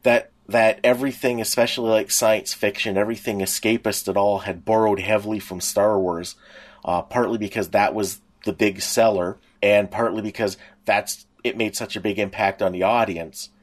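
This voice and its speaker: A middle-aged man co-hosting a podcast, chatting and reminiscing casually, piecing his thoughts together in a halting way. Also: lowish voice, steady tone. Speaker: podcast co-host. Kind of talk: casual chat, personal reminiscing.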